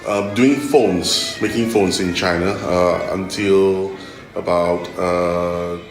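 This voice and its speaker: A middle-aged man with a Malaysian accent and a fairly low voice explains things in an interview. He speaks haltingly, repeating words and checking in with "okay". The room is echoey, with faint music underneath. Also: steady tone. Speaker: interviewee, tech executive.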